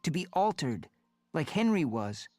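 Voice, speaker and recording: A man's voice: on the high side, sing-song, measured pace, dark tone, dry recording, faint music behind, pitched above the performer's own voice. A man voicing a restless young house tomcat in a storybook reading, speaking in an earnest, firm, determined way.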